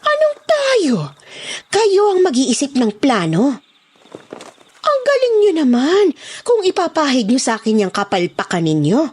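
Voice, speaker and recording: A woman's voice: high voice, wildly swooping pitch, a little slow, a little mellow, a little hiss or hum, exaggerated voice. An actress playing a domineering, hot-tempered woman crime boss in a horror audio drama, scornful and threatening. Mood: scornful, furious. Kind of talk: dramatised horror story acting.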